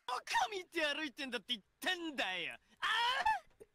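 A woman playing an aggressive, short-tempered young male delinquent in a voice drama, rough and menacing. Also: very high voice, huge pitch swings, moderate pace, heavy background noise, voice pushed up, exaggerated voice. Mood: explosive anger.

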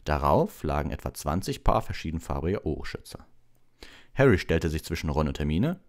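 Man reading a relaxed bedtime story. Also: a bass voice, fairly quick. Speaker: audiobook reader.

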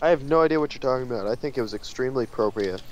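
A man's voice: medium pitch, animated, rapid-fire, slight background noise. A man bantering casually as a co-commentator on a game, dropping playful, teasing interjections. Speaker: guest co-commentator.

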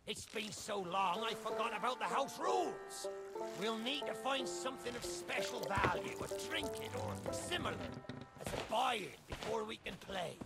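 An actor playing a sly, boastful cursed skeleton pirate captain in a video game, with a gravelly, theatrical West Country pirate drawl. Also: male voice, sits high, exaggerated voice. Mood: exasperated. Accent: stage pirate West Country English. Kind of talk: video game character acting. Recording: loud game music behind the voice. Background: loud music.

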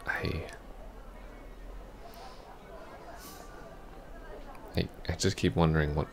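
A man playing a heartbroken, apologetic ex-boyfriend in an intimate ASMR roleplay, soft-spoken, halting and stammering. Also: rumbling low, level tone, gravelly, slight background noise. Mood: tentative, sad.

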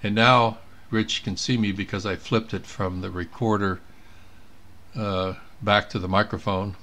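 A man's voice: lowish voice, fairly even intonation, a little slow, bright, forceful tone. A man giving a casual screen tutorial as an amateur radio operator, thinking aloud as he works. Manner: thinking aloud while working. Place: indoors at a computer.

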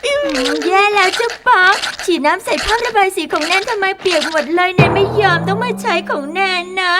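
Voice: very high voice, a little fast, over loud music. A performer voicing a whiny, indignant little girl in a children's puppet show, scolding in a childlike way. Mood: upset, indignant.

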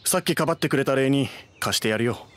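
A man's voice: mid-range voice, quick pace, a bit bright, close to an everyday voice. An actor playing a proud, fretful, down-on-his-luck bookish man with weary, old-fashioned speech in an anime game. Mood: resigned. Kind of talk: Japanese anime game dubbing.

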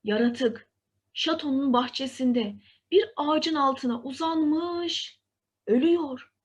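High-pitched woman narrating a fairy tale. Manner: expressive, deliberate storytelling delivery. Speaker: storyteller.

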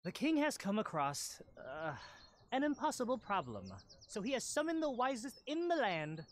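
A woman playing a dutiful young royal attendant who announces commands in an animated fairy tale. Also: a little high, normal speed, a little hiss or hum. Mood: formal.

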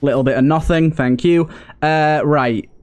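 A man's voice: a little high, steady tone, measured pace, clean tone. A man giving casual let's play commentary, thinking aloud and repeating phrases for emphasis. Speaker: gaming video host.

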